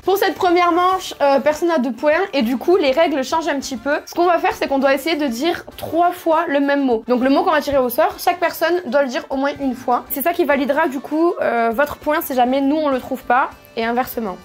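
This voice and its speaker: High-voiced young woman hosting a game. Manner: explains rules, quick teasing. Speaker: YouTuber hosting a party game. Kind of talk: casual game chat.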